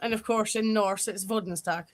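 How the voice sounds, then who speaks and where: A woman's voice: mid-range voice, steady tone, normal speed. A woman with a northeast Scottish accent, chatting on a podcast and explaining history and local dialect. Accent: Scottish, northeast coast. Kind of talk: casual group chat.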